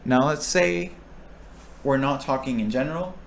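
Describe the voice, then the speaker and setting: A man's voice: mid-range voice, moderate pace, vocal fry, slight background noise. A young man explaining a probability lesson, thinking aloud with restarts and checking in with his listeners. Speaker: recitation instructor. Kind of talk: explaining a lecture topic.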